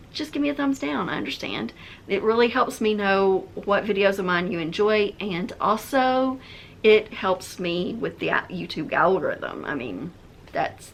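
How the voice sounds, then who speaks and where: Female book vlogger, mid-pitched voice, chatty and informal, speaking directly to viewers. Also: fairly bright tone, a little hiss or hum. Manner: chatty, conversational. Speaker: book reviewer, video host. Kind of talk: casual chat to viewers.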